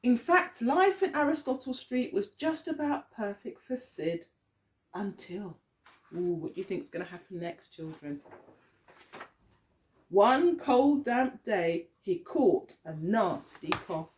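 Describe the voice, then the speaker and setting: A woman teacher reading a picture story aloud to young children, expressively, often pausing to put questions to them. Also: mid-range voice, very animated, a little mellow, slight background noise.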